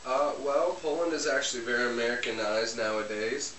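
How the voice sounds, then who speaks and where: A man plays a polite young Polish man who rambles and hesitates through every answer while cooking in a home kitchen. His mid-pitched voice is picked up by a camera mic across the room. The kitchen adds roomy echo, and a steady hiss runs under him. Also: fairly quick, natural voice. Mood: relaxed. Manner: hesitant, many ums and uhs, rambling. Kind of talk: amateur comedy sketch interview. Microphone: camera mic from a distance. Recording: roomy, distant, hissy home video.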